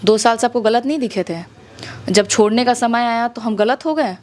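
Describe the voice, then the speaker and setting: High-voiced Bihari woman, indignant and challenging. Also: normal speed, a little mellow. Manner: indignant, challenging, rhetorical questions. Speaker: interview guest, actress. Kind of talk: conversational interview testimony.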